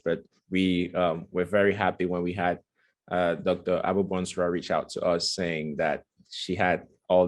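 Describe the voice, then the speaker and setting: A man from Cameroon opening a webinar as host, speaking hesitantly with many fillers. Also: deep voice. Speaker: host, student organizer. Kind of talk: webinar introduction. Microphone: video call.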